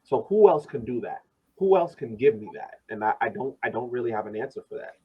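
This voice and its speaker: A man's voice: mid-range voice, animated, brisk, breathy edge, phone-quality sound. A man discussing soccer on a casual podcast, speaking emphatically and often repeating words as he goes. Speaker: podcast host and soccer supporter.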